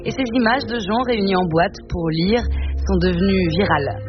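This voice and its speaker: A woman delivering a chatty, wry radio culture column with quick asides, on a dull radio broadcast with hiss. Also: mid-range voice, music in the background.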